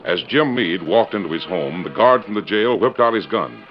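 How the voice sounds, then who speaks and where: Dramatic low-voiced radio serial narrator. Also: male voice, animated, normal speed. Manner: dramatic, declamatory. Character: dramatic announcer narrating a western adventure serial. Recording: dull old radio recording over music. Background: music.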